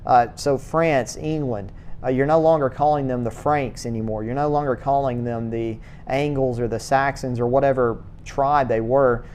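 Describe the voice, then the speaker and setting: Guy teaching a church history class, kinda stop-start with lots of uh, faint rumble in the back. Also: steady tone, a little mellow. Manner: halting, repeats words, many uh fillers. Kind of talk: classroom lecture.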